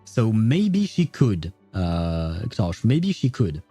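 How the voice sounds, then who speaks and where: A man's voice: on the low side, very animated, slow pace, warm tone, husky, soft background music. A man rambling casually on a game livestream, thinking aloud as he answers his viewers.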